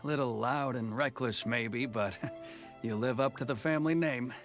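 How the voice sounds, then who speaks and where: A man's voice: medium pitch, measured pace, a bit bright. An actor playing a stern, weary male commission officer in a video game, with faint music behind. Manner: gruff, clipped, tired. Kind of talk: game voice acting.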